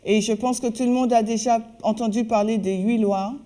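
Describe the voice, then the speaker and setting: A woman working as a live interpreter, speaking in halting phrases with frequent hesitations and restarts. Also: fairly high pitch, steady tone, mellow. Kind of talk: consecutive spoken translation of a talk.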